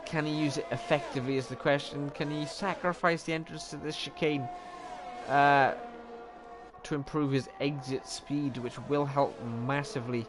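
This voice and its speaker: A man's voice: fairly high pitch, normal speed, over music. A young Welsh man giving live, chatty commentary on an online racing league, often joking at his own expense and correcting himself. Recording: steady background noise.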